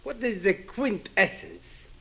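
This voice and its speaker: A man's voice: high-pitched, slow, gravelly, sharp tone. A man in his seventies from Ukraine, a native Hebrew and Yiddish speaker, giving a reflective public address in an emphatic way, with rhetorical questions and repetition. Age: 70s.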